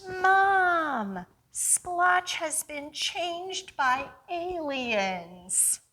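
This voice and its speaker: An earnest young boy from a picture book, played by a woman reader, high and bright. He pleads anxiously and urgently, stretching his words out slowly. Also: sing-song, slight background noise, full character voice. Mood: alarmed. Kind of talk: children's picture-book read-aloud.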